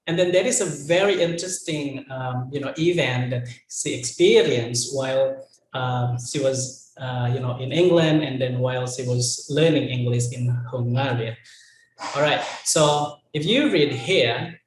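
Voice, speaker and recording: A man from Banten with an Indonesian accent, giving an online university lecture in English in a relaxed way, often saying "you know". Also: medium pitch, unhurried, reverberant, poor audio.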